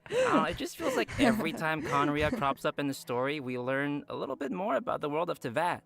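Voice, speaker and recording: A man co-hosting a game preview show, presenting updates in a playful, animated way with banter. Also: fairly high pitch, fairly quick, creaky.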